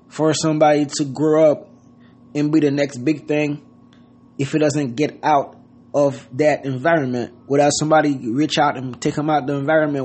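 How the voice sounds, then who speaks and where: A man's voice: on the high side, darkish tone, breathy edge. A man from Haiti giving an earnest motivational talk, loosely worded and full of "you know what I mean.". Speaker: podcast host. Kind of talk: casual motivational monologue.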